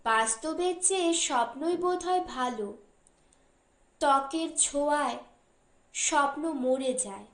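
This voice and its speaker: Woman playing a wistful married man, philosophical, wry, unhurried, audiobook dialogue, roomy echo. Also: sits high, fairly bright tone, exaggerated voice. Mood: musing. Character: wistful, teasing, philosophical brother-in-law, adult man.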